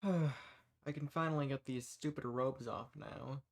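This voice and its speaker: A man's voice: mid-range voice, fairly even intonation, unhurried, gravelly. A man playing a kind, folksy human rebel who earnestly reassures and shelters monsters in a scripted audio roleplay. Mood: friendly, reassuring. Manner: folksy, reassuring, earnest, uses y'all and ain't. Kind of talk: scripted audio roleplay, speaking softly to the listener.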